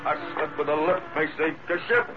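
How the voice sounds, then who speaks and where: A man's voice: a little high, fairly quick, over loud music, close to an everyday voice. An actor playing a jovial drunk man in a 1940s radio drama, slurring and repeating himself in an over-friendly way. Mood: tipsy, chummy. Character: loud jovial drunk man carousing in a bar. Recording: noisy muffled vintage radio recording.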